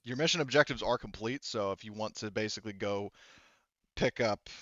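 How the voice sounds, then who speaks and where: A man running a tabletop game as game master, explaining things casually in a halting, repetitive way. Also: on the low side, fairly bright tone, dry recording, lo-fi sound. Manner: halting, explanatory, repeats himself.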